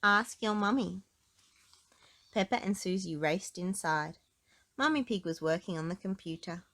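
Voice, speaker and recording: A woman reading a storybook aloud to children in an expressive narrating style. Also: on the low side, normal speed. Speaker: storybook reader.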